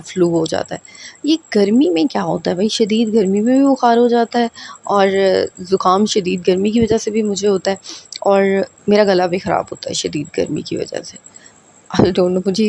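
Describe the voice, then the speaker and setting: Woman w a sore throat, kinda gravelly and mellow, rambly chatty advice vlog, faint hiss behind. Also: mid-range voice. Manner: chatty, rambling, addresses viewers directly. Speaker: vlogger giving family advice.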